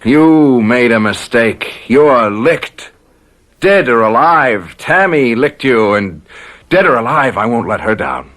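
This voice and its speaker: An actor playing an emotional, stubborn captive husband under torture in an old-time radio drama. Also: male voice, medium pitch, animated, slow, a bit bright. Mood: bitter, defiant.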